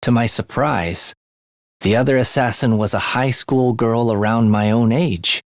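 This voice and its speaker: A man's voice: medium pitch, a little slow, dry recording, clean studio sound, lightly acted. A man voicing a reluctant teenage assassin in a video game, polite and conversational, matter-of-fact about killing. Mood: calm, morbid. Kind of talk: scripted video game character monologue.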